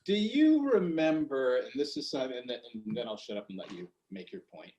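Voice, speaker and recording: A man's voice: mid-range voice, very animated, a little fast, dark tone, lo-fi sound, slight background noise. A man co-hosting a podcast, chatting casually and emphatically, often cutting in on the others. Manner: emphatic, jumps in over others. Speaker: podcast co-host. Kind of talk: casual chat. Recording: echoey room.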